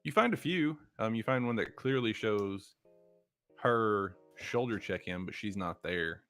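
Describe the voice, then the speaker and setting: A man with a low voice narrates a tabletop roleplaying session as game master. He sets out scenes in a measured, descriptive way, with music playing faintly behind him. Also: animated, a bit bright.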